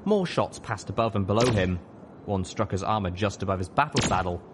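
A man's voice: fairly low pitch, lively intonation, a little fast, heavy background noise. A man reading fiction aloud as an audiobook narrator, in a dramatic scripted style.

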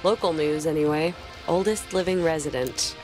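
A woman with an American accent playing a caustic, domineering teenage mean girl in a video game, sarcastic, deadpan and cutting. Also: deep voice, normal speed, music in the background, natural voice. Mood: sardonic, deadpan. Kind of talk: naturalistic video game acting.

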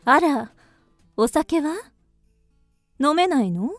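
A teasing, forward young geisha in a radio drama. Her voice is high and unhurried, coy and probing in old-fashioned feminine phrasing. The sound is dry, with faint music behind. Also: lively intonation, no room sound. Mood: coy, curious.

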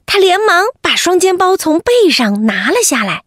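Female children's storyteller, high-pitched, animated narration with wide swings, dry close recording. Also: normal speed, no room sound. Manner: animated, addresses children directly. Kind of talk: expressive bedtime story narration.

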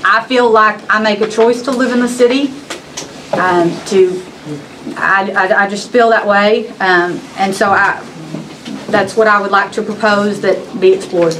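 A woman's voice: medium pitch, fairly even intonation, unhurried. Young woman at a county meeting mic, earnest, lots of restarts, faint hiss behind. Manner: earnest, hesitant, many restarts. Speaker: justice of the peace. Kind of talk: public meeting remarks. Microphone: table microphone.

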